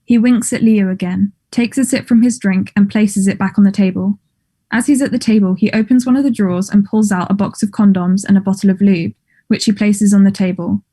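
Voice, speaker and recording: A woman reading stage directions as scripted narration in a measured, neutral manner. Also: mid-range voice, steady tone, mellow, breathy edge.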